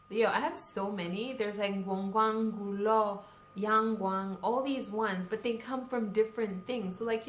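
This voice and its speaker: A woman's voice: mid-range voice, a little hiss or hum. Young woman from Cameroon, casual rambling vlogger, echoey room. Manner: chatty, lots of fillers like "like" and "you know". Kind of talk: casual vlog chat.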